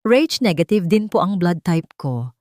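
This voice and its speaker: Woman narrator as a gentle, resolute young mother. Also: fairly low pitch, very animated, unhurried, no echo, studio quality. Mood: weak but determined. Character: humble, self-sacrificing young mother, gentle but resolute.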